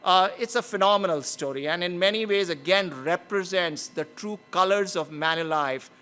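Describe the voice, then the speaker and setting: Brief: male event host, high bright voice, earnest formal remarks. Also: normal speed, clean tone. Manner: earnest, frequent "uh" fillers. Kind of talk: formal speech.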